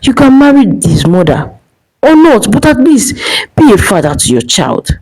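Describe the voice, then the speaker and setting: A woman's voice: a little high, very animated, normal speed, a little mellow, pitched above the performer's own voice, low-quality recording. A woman voicing an earnest, responsible soldier, a caring brother in a dramatic folktale. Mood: earnest urging. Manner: earnest, urging.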